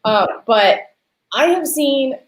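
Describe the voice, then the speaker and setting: A woman hosting an interview, talking in an animated, affirming and conversational way. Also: sits high, takes it slowly. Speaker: interview host. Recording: roomy, echoey sound.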